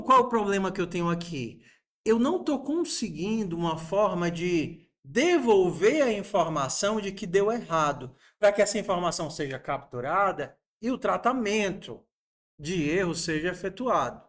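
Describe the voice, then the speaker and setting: A man, teaching a programming lesson and patiently asking his students rhetorical questions. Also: high voice. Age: 40s. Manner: patient, asks the class rhetorical questions, uses diminutives. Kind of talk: coding lesson screencast.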